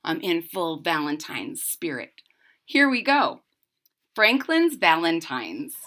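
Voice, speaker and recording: Female children's storybook reader: unhurried, bright, expressive, with explanatory asides. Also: deep voice.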